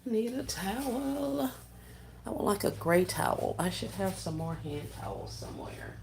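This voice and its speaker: A soft-spoken woman, chatting casually with viewers on a livestream while she works on gift baskets. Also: medium pitch, big pitch swings, measured pace, vocal fry, noisy background. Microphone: phone set down while working.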